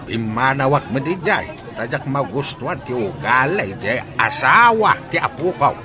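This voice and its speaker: A man's voice: medium pitch, sing-song, moderate pace, a crowd in the background, close to an everyday voice. A man playing a plaintive old grandfather in a drama. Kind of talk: radio-style drama dialogue.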